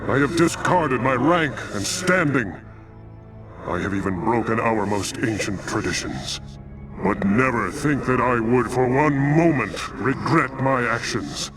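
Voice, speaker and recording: A man acts out a noble alien templar leader from a video game, grave and self-sacrificing. His speech is formal and declamatory, set over clearly audible music. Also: medium pitch, expressive, unhurried, close to an everyday voice. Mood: solemn, defiant. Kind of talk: dramatic video game voice acting.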